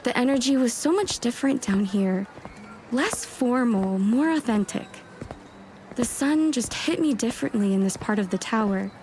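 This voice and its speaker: A woman's voice: a little high, lively intonation, moderate pace, darkish tone, lightly acted. Woman acting a flustered, snarky scholar mage, noisy recording. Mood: wistful. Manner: wry, chatty inner monologue with muttered self-talk. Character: eager, flustered woman warlock scholar, snarky and self-doubting. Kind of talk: first-person audio drama narration and dialogue.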